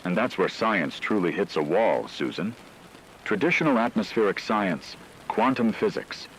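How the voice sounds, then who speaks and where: A very low-pitched male news anchor played by an actor delivers a scripted radio bulletin in an apocalypse drama. He is grave, formal and measured, with faint rain noise behind him. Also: rough recording.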